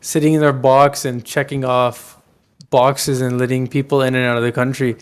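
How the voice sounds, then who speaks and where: A man's voice: medium pitch, little pitch movement, normal speed, clear, resonant tone. A man co-hosting a podcast, talking politics casually and emphatically with plenty of slang. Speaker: podcast co-host.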